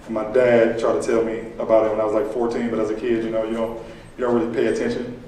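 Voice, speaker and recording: A man in his late twenties from Illinois, a contractor giving a casual personal pitch to a live audience, relaxed and conversational with frequent fillers. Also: fast talker, far from the mic, a little hiss or hum. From Illinois, USA. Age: late 20s. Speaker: contractor and aspiring entrepreneur. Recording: echoey room, picked up from afar.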